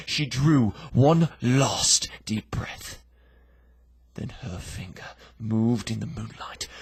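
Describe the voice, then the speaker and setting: A man giving a theatrical, dramatic poetry recitation in a breathy, gravelly voice, moving between hush and forceful declamation. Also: mid-range voice, dry recording. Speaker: poetry reader.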